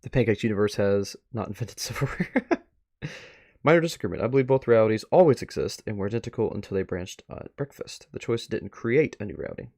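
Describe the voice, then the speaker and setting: A man live-streaming a reaction to a web series, chatty and excitable, thinking aloud as he watches. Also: lowish voice, fairly quick.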